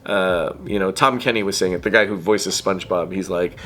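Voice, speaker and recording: A man with a Filipino Canadian accent, a voice actor answering interview questions in an animated, chatty way with lots of fillers. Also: low voice. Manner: animated, chatty, quick laughs, many fillers. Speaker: voice actor guest.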